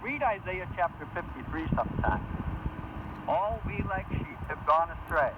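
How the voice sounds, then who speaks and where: A devout, kindly boss who speaks earnestly and preachily, in radio drama style. The voice is high for a man. It sounds distant under strong broadcast noise. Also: sing-song, normal speed. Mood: devout, instructive. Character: kindly, devout boss quoting scripture to his employee. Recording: distant, muffled, noisy shortwave broadcast.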